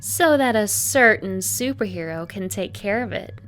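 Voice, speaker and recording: A woman's voice: medium pitch, expressive, moderate pace, natural voice. A woman voicing a confident, helpful female android agent in a comic dub, earnest and composed.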